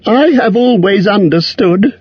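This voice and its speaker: A man voicing a timid small male hobbit who talks in a high, frightened, fawning squeak. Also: very animated, unhurried, slightly rough, dry recording, full character voice. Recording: dull lo-fi sound.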